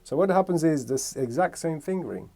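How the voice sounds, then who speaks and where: A man's voice: on the high side, lively intonation, fairly quick, mellow. A man from Romania giving a casual music lesson, thinking aloud and correcting himself as he explains. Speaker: music teacher.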